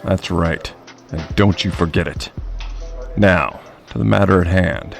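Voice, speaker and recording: Menacing mob patriarch, very low gravelly voice, commanding, radio drama with faint music. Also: male voice, unhurried. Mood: angry, commanding. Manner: commanding, foul-mouthed. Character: stern, menacing crime boss and father. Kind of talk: horror mystery radio drama acting.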